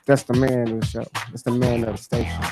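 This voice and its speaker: A man's voice: medium pitch, level tone, fast talker, loud music behind the voice. An American man hosting a casual radio interview, talking in slangy, hyped-up phrases with lots of repetition. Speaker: radio show host.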